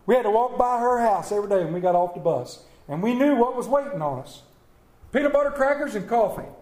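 Folksy high-voiced preacher in an echoey church. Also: male voice, lively intonation, darkish tone. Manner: folksy, storytelling preacher with dramatic pauses. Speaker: pastor. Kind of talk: Mother's Day sermon. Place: church sanctuary.

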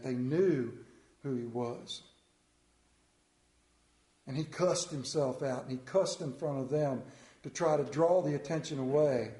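A man's voice: fairly high pitch, dark tone, roomy sound. A man with a Central Maryland accent preaching a sermon, folksy and mixing stories with personal asides. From Central Maryland, United States. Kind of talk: sermon with storytelling.

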